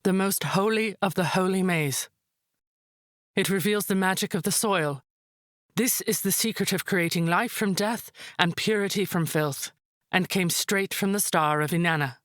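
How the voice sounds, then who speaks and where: Woman playing proud, commanding high priestess. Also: normal speed, no room sound, clean studio sound, natural voice. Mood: urgent, commanding. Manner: formal, commanding, composed. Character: proud, resolute high priestess, dignified aunt. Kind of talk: dramatic visual novel acting.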